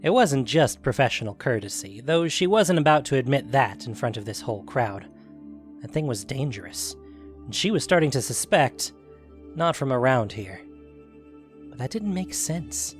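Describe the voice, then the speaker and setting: A man's voice: mid-range voice, animated, moderate pace, soft background music. A man narrating a scripted fantasy story as the narrator of an audio production.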